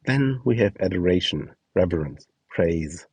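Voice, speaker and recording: A man's voice: deep voice, expressive, unhurried, gravelly, a little mellow, husky, no echo. A man reading scripted instructions and short scene prompts as the narrator of a guide.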